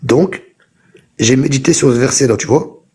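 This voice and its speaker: Insistent man with a dark husky voice. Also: medium pitch, moderate pace. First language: French. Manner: insistent, repeats himself to hold the floor. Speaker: host. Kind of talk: heated live debate. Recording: TikTok live call audio.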